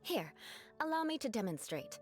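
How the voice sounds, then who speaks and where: A woman's voice: on the high side, big pitch swings, normal speed, soft background music. A woman voicing a haughty, formal young noblewoman who lectures with cool self-assurance in an anime game.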